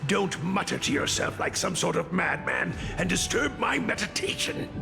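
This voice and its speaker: An actor playing a scornful, pious zealot priest in a video game, with an imperious and contemptuous manner. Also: male voice, high-pitched, sing-song, normal speed, vocal fry, full character voice. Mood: stern, irritated. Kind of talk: dramatic game voice acting. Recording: background music behind the voice.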